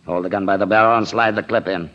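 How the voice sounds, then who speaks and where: An actor playing a cold-blooded, smug, commanding gangster killer in an old-time radio crime drama. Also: male voice, on the low side, fairly even intonation, fast, lightly acted. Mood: gloating menace. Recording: dull vintage radio recording.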